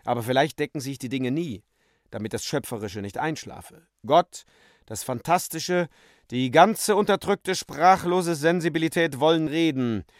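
An actor playing a fervent visionary philosopher in a radio play, with a declamatory, emphatic delivery. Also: male voice, fairly high pitch, expressive, moderate pace, dry recording, studio quality, lightly acted. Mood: fervent, exalted. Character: fervent male visionary philosopher expounding his ideas.

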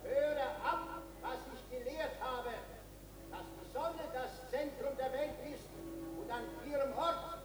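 High-pitched, slow, solemn proclaimer. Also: male voice. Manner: slow, ceremonial, measured declamation. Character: official male crier solemnly proclaiming an abjuration. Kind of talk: 1960s radio play, formal proclamation. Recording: echoey, distant, with music behind.

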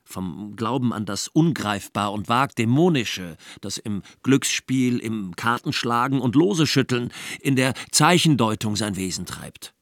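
An actor playing a sceptical, courteous humanist scholar in a German radio drama, questioning in a measured and precise way. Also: male voice, mid-range voice, expressive, no room sound, clean studio sound. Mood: sceptical, teasing. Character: sceptical, learned humanist friend, courteous and probing.

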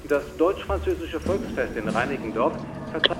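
An actor playing an East German newsreader, reading a formal, matter-of-fact bulletin heard through a radio. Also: male voice, a little high, animated, moderate pace. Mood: neutral, official. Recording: echoey distant radio with faint noise.

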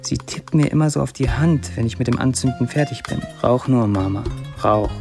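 A man acting as a young Syrian writer in a radio play, speaking in intimate, morbidly candid monologue. His pitch sits in the middle for a man, and soft music plays behind him. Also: normal speed, natural voice. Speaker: lead actor reading a writer's status posts. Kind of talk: first-person literary monologue in radio drama.

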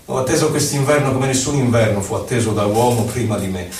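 A man gives a solemn, theatrical poetry recital, declaiming in short phrases with pauses between them. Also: medium pitch, darkish tone. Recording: echoey, distant, noisy live radio broadcast.

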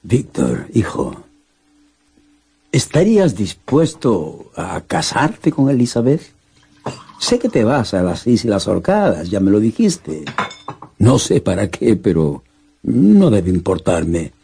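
An elderly father speaks gently and solemnly in a radio drama. The voice is badly husky and dark, with slow, halting phrases that rise and fall widely. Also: fairly low pitch, big pitch swings, exaggerated voice. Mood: gently probing. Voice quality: husky, raspy.

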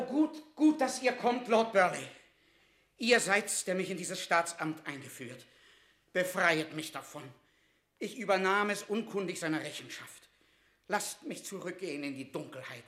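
An actor playing an anxious, dutiful royal secretary in a classic radio drama, speaking in a formal, pleading, theatrical manner. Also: male voice, sits high, fairly bright tone. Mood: desperate pleading. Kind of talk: classic German radio play acting of verse drama. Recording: roomy, reverberant radio drama studio.

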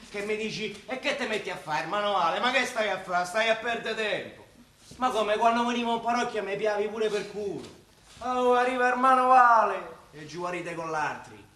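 A sarcastic young Roman working man in radio theatre. His voice is fairly high and cutting, full of resentment. The echoey recording carries a faint noise. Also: expressive, moderate pace, bright, forceful tone. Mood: resentful, mocking. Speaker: actor. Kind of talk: radio play acting.

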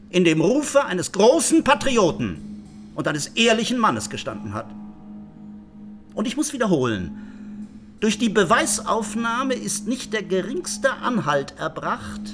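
An actor playing a stern state prosecutor in a radio play, making a formal, emphatic closing speech with deliberate pauses. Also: male voice, high-pitched. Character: stern male state prosecutor pleading for a murder conviction.

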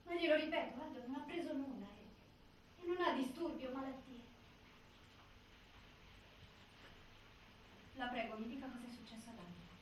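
A woman's voice: high-pitched, quick pace, close to an everyday voice. An actress playing an anxious detained woman in a stage drama, urgent and pleading. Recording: noisy, echoey theatre, distant mic.

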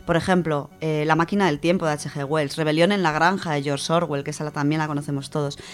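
A woman from co-hosting a radio show, chatting casually and reading a story, animated, with many fillers. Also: deep voice, quick pace. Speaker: radio co-host presenting a literature section.

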